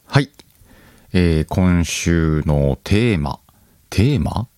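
A man's voice: low-pitched, lively intonation, extremely slow, mellow, clean tone, dry recording, studio quality. A man hosting a solo podcast, chatting casually to his listeners and thinking aloud as he goes. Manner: conversational, thinks aloud, addresses listeners directly. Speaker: podcast host. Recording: background music under the voice.

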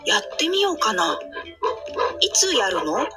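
A woman's voice: fairly high pitch, very animated, unhurried. A woman voicing a friendly, eager young boy in a scripted promo skit. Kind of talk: scripted radio commercial dialogue. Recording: music under the voice. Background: music.

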